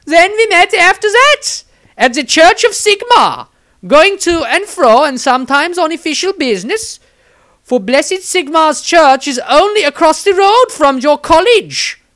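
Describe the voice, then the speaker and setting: A man's voice: extremely high pitch, expressive, unhurried, bright, forceful tone, pitched above the performer's own voice, heavily acted. A man voicing an overbearing, amorous, zealous nun in an audio story, gushing and cooing with great emphasis. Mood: zealous, insistent.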